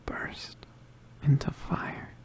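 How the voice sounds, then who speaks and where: Man acting a whispering, wounded young witch boy. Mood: weary, explaining. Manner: halting, hesitant, murmured, understated. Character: badly injured young male witch, weary and self-sacrificing. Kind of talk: ASMR roleplay, soft intimate whispering.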